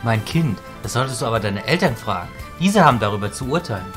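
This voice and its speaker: A man playing an upright, fatherly, gently admonishing character in an audio drama. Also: mid-range voice, expressive, fast talker, over music, close to an everyday voice. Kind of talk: amateur audio drama acting.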